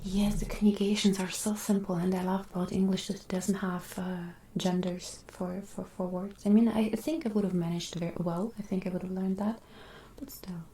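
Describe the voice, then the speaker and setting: A woman whose first language is Russian, chatting casually with viewers on an ASMR stream, drifting between whispers and soft talk. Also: mid-range voice, steady tone, normal speed, hardly any pauses, reverberant, slight background noise.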